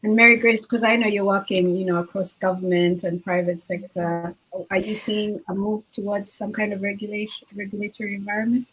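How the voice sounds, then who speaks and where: A woman's voice: medium pitch, little pitch movement, a little fast, fairly bright tone, breathy edge, poor audio. A woman moderating a panel discussion, asking loose, casual questions full of hedges and fillers.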